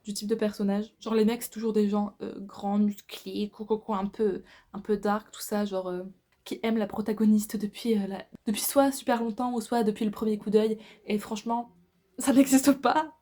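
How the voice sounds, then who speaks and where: A woman in her twenties talks as a book vlogger in a soft-edged, mellow voice at a medium pitch. Her delivery is a casual, informal chat to the viewer, loose and full of slang. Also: steady tone, moderate pace. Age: 20s.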